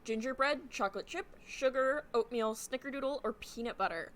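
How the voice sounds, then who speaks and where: A woman's voice: sits high, a little fast, a bit bright. A young adult woman co-hosting a podcast in playful, giggly, sing-song casual chat over a remote call. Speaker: podcast co-host.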